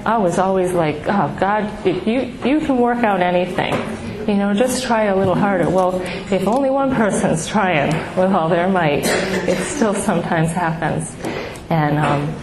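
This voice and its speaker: A middle-aged woman with a small, soft voice sharing her own story of grief with an audience, halting and heartfelt with many pauses. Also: on the low side, crowd noise. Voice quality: soft, small voice she calls baby-like. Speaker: speaker at a grief support gathering. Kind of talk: personal testimony to an audience. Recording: echoey room with background noise.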